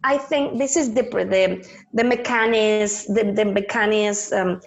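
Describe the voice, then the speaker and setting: A woman's voice: medium pitch, steady tone, measured pace, gravelly. A woman with a Mexican Spanish accent and Spanish as her first language. She is an actress in a podcast interview, searching for English words and slipping into Spanish.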